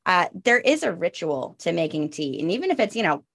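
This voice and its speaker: A woman in her thirties hosts a relaxed podcast conversation. Her voice sits slightly low, and she talks in a chatty way, laughing easily and dropping self-deprecating asides. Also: brisk, creaky. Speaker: podcast host. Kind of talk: casual chat.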